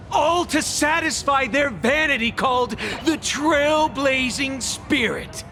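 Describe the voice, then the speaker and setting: A man's voice: very high voice, pushed and bright, full character voice. An actor playing a bitter, defiant young male squad leader in a video game, with theatrical, emphatic delivery. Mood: scornful, anguished. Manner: slow, emphatic, declamatory delivery. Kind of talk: dramatic video game voice acting. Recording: dramatic game score behind the voice.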